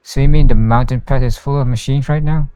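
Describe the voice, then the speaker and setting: A man's voice: medium pitch, fairly even intonation, brisk, mellow, smooth. A man livestreaming a game, reading its dialogue and narration aloud with occasional stumbles. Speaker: game streamer. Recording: roomy, with faint game music behind.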